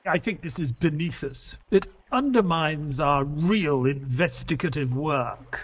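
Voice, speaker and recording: Audio drama companion-narrator, male actor, skeptical grumbler, higher register, unhurried and husky. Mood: exasperated. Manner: exasperated, expressive storytelling.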